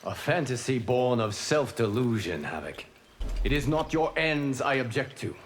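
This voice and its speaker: Man voicing grave righteous protector, medium pitch, formal, moralizing, game dialogue, faint noise. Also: big pitch swings, moderate pace, natural voice. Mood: grave, reproving. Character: solemn, righteous male guardian of the faith. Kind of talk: video game character acting.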